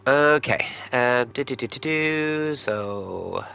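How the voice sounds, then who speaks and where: A man's voice: medium pitch, animated, takes it slowly, gravelly, sharp tone, slight background noise. A man streaming a video game, giving casual commentary and murmuring reactions to himself.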